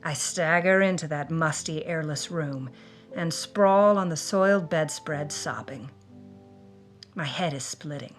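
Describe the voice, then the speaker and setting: A woman's voice: sits low, unhurried. A woman playing a defiant, wounded 1950s film starlet, telling her story in the first person with intense, theatrical emotion for an audio drama. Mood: despairing, weary. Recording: music behind the voice. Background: music.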